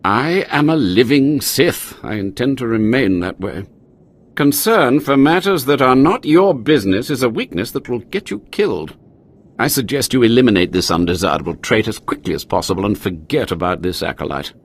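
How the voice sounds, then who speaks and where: A man's voice: medium pitch, lively intonation, moderate pace. An actor playing a haughty, scheming Sith inquisitor in a video game, theatrical and condescending, drawing out his words. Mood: stern warning. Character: haughty, scheming male Sith inquisitor, self-preserving overseer of trials.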